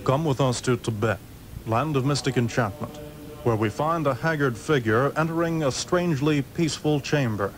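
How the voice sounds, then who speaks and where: An actor playing a grave, mysterious narrator of an occult adventure in 1960s radio, measured and portentous. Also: male voice, mid-range voice, close to an everyday voice. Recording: old radio recording, faint background noise.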